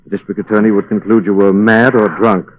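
An actor playing a calm, resolute, protective gentleman crime-fighter in a 1940s radio drama. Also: lowish voice, fairly quick, natural voice. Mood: reassuring, resolute. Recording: muffled old radio recording.

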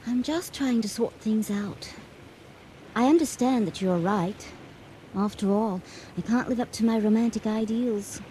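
A woman's voice: fairly high pitch, measured pace, warm tone, lightly acted. An actress playing a lovestruck, earnest young female student in a radio drama, wistful and sincere. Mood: earnest, resigned. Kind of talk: 1980s radio drama acting. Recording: noisy vintage radio drama recording.

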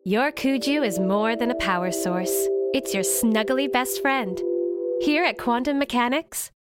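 An actress playing a sweet, dreamy commercial announcer in an advertising voice-over style. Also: female voice, a little high, measured pace, loud music behind the voice, lightly acted. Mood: dreamy, inviting.

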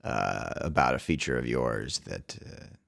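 A man's voice: rumbling low, animated, measured pace, vocal fry, a bit bright. A man co-hosting an advice podcast, chatting casually with a dry, wry manner and reading out listener questions. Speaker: podcast co-host.

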